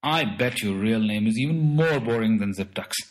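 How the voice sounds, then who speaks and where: A man's voice: lowish voice, expressive, moderate pace, slight background noise, natural voice. A man doing a strange little alien trickster. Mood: playful. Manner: playful, bossy, fond of made-up words. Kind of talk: storybook character voice.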